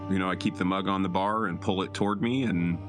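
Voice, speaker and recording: A man's voice: deep voice, steady tone, fast, music in the background. A man chatting casually and informally as a player in a tabletop role-playing game.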